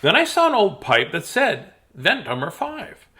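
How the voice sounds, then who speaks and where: A man's voice: high voice, huge pitch swings, unhurried, sharp tone, pitched above the performer's own voice, heavily acted. A man voicing a hapless young storybook hero who tells his troubles in dramatic, plaintive rhyme. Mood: fretful.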